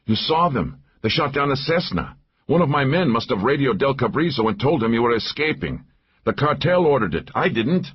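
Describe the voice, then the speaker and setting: Narrator as captive male villain, panicky, breathless, protesting, raised pitch. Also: huge pitch swings, normal speed, few pauses, breathy edge, dull audio, heavily acted. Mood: panicked protest.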